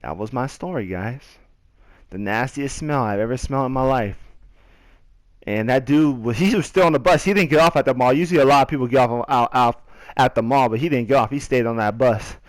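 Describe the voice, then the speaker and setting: A young man telling a story casually over gameplay, in a slangy, animated way with repeats and quotes of himself. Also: medium pitch, fairly quick, dry recording. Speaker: gaming video creator.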